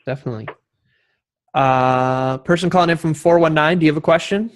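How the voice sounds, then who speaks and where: Male moderator, moderately high pitch, informal, courteous, appreciative hosting delivery. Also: a little fast. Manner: polite, appreciative. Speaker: host, moderator.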